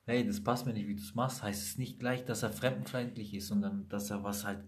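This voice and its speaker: A man's voice: fairly low pitch, brisk. A man with Italian roots talking bluntly and emphatically in a casual conversation, telling stories from his own life. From Italy. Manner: emphatic, blunt, animated storytelling.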